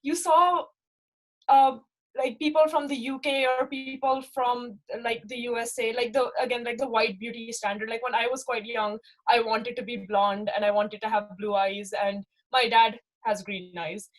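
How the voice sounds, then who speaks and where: A young woman from Pakistan speaks with a gentle Pakistani accent and a voice that sits a bit high. She talks in a casual, reflective way, full of fillers and searching pauses, often checking in with a quick "right?". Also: steady tone, low-quality recording. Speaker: interviewee. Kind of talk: casual personal account.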